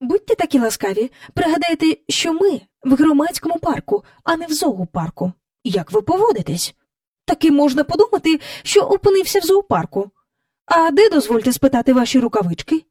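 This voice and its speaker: A woman's voice: high-pitched, big pitch swings, brisk, darkish tone, no echo, clean studio sound, recorded from a distance, pitched above the performer's own voice. A woman voicing a prim, stern storybook nanny who scolds in a formal, sarcastic, haughty way. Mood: stern scolding.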